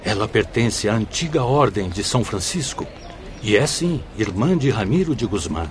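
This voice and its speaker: An actor playing a reverent, knowledgeable spirit guide in a radio drama, solemn and formal. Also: male voice, fairly low pitch, big pitch swings, moderate pace, a little hiss or hum.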